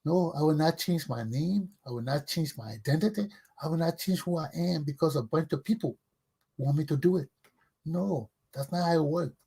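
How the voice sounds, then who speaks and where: A man's voice: a little high, moderate pace, creaky, warm tone, breathy edge. A middle-aged man with a Liberian accent delivers an impassioned, combative political monologue as a talk show host, emphatic and preachy, hammering phrases through repetition.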